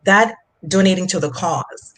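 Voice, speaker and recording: A woman's voice: lowish voice, unhurried. A woman in her forties from Jamaica, with a Jamaican accent, talking earnestly as a finance coach guest in a casual interview, often repeating words as she thinks.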